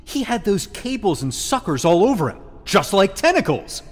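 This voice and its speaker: Man playing a wildly animated, wry agent. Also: sits high, normal speed. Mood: realising. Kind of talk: audio drama acting. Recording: reverberant, noisy sound-effect ambience.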